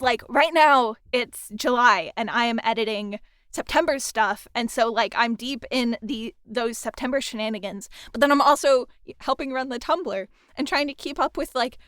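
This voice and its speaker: A woman's voice: fairly high pitch, a bit bright, no echo. Chatty woman interviewer, dry close mic. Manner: chatty, friendly, laughs between thoughts. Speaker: interviewer and dialogue editor. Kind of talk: casual interview chat.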